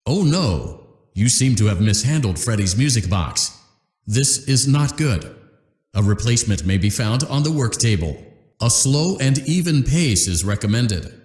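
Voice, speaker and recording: Cheerful corporate training instructor. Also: male voice, mid-range voice, a little mellow, echoey room. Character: upbeat corporate male repair-training instructor. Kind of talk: scripted game instructions.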